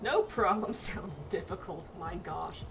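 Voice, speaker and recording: A woman playing a game with friends, reading its dialogue aloud casually and haltingly, with reactions of her own. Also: fairly low pitch, gravelly. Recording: game music behind the voice. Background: video game music.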